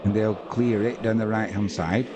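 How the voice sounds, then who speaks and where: A man's voice: fairly low pitch, fairly even intonation, normal speed, noisy background. English man doing casual live football commentary. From England. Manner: conversational play-by-play, reading out viewer comments. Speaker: watch-along commentator. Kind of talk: live match commentary and chat with viewers.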